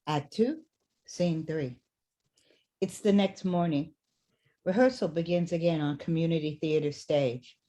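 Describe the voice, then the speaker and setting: A woman narrator with a fairly low voice reads out stage directions. It is scripted narration for a staged drama reading. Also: darkish tone.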